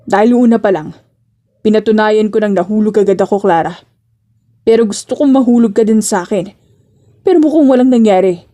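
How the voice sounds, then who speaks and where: A woman's voice: mid-range voice, brisk, long pauses, mellow, natural voice. A woman voicing a cold, brooding, impatient male boss in an audio romance drama, with stern, curt delivery. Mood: intense.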